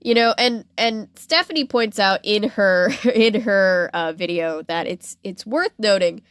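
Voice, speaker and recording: A woman hosting a podcast in a casual, animated storytelling style. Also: mid-range voice, measured pace, smooth, dry recording, studio quality. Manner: animated retelling, acting out imagined reactions in quotes. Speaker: podcast host.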